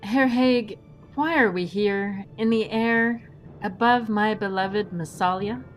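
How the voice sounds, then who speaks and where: A woman's voice: mid-range voice, slow, soft background music, lightly acted. A woman acting a practical swashbuckling heroine in an audio drama, with a dry, sardonic manner. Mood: questioning.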